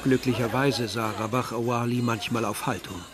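An actor playing a reflective first-person narrator of a village youth, measured and pensive in a literary radio play. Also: male voice, medium pitch, fairly even intonation, over music, crowd noise, lightly acted. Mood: wry.

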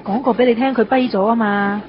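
A woman acts as a female airport staffer in a Cantonese radio drama, speaking with a Hong Kong accent. She talks quickly and matter-of-factly. The sound is a bit dull, with clear background noise. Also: on the high side, steady tone, natural voice. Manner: brisk, matter-of-fact. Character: brisk, offhand female airport staff member. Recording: dull recording, noisy background ambience.

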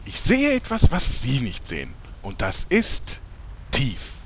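A man's voice: medium pitch, wildly swooping pitch, takes it slowly, a little hiss or hum, exaggerated voice. Nagging chirpy sidekick, male actor, audio comedy: hugely varied intonation, pestering, theatrical. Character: relentlessly chatty, annoyingly cheerful sidekick. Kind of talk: German comedy audio drama acting.

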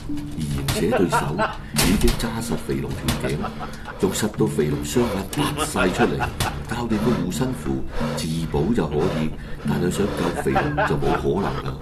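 A man's voice: deep voice, very animated, normal speed. A man with a Hong Kong Cantonese accent narrating a horror radio drama in a dramatic scripted storytelling style. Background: loud music.

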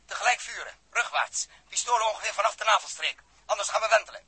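An actor playing a commanding, decisive spaceship captain who barks terse orders in a 1960s Dutch radio drama. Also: male voice, sits high, lively intonation, fast talker, bright, forceful tone, slightly rough, no room sound, heavily acted. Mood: brisk, commanding. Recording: old radio recording.

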